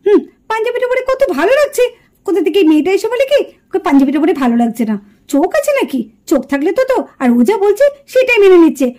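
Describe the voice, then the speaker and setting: A woman's voice: very high voice, big pitch swings, fast talker, warm tone. A woman playing a proud, jealous young village woman in a puppet cartoon, curt and sarcastic with a scornful edge. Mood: annoyed, scornful. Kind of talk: puppet cartoon drama acting.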